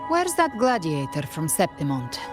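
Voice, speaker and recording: A woman's voice: sits low, wildly swooping pitch, normal speed. A woman voicing a curt, confident, battle-hardened female warrior in a dramatic video game. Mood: curt, commanding. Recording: music behind.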